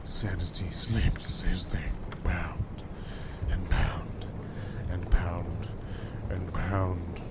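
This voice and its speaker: A man's voice: deep voice, little pitch movement, heavy background noise. A man playing a wounded, maddened man who chants eerie riddles in a horror audio drama. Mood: delirious. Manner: slow, chanting, riddling delivery.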